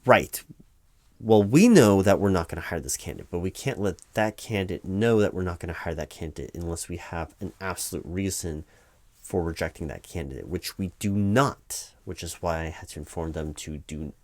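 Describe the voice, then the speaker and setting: Man playing a low-voiced, distracted hiring manager. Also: normal speed, no echo. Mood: officious, evasive. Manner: hesitant, rambling, officious, loud-spoken. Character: distracted, officious male corporate hiring manager. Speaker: hiring manager conducting a job interview. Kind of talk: one-sided comedic audio roleplay.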